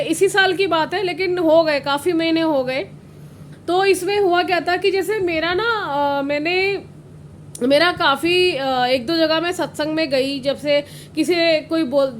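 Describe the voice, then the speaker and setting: A woman with a high voice roughened by a cold shares a devotional story on her channel. She rambles, restarts and fills pauses as she goes. A faint hiss sits under the recording. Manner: rambling, with frequent restarts and fillers. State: hoarse with a cold. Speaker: satsang channel host.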